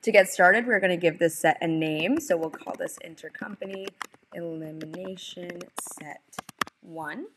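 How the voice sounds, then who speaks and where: A young adult woman presenting a software tutorial, explaining each step in an informal, instructional way. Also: fairly low pitch, slight background noise. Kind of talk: instructional screen-share walkthrough.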